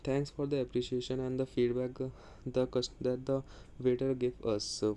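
A man reading customer reviews aloud in a halting way. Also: mid-range voice, monotone, warm tone, clear, resonant tone. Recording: noticeable background noise.

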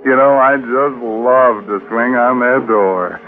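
Actor as slow, ghoulish horror host. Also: male voice, medium pitch, natural voice. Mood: gleeful teasing. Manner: sardonic, teasing, drawn out. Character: macabre, gleefully ghoulish mystery host. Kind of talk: tongue-in-cheek 1940s radio horror narration. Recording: muffled old radio recording, music behind.

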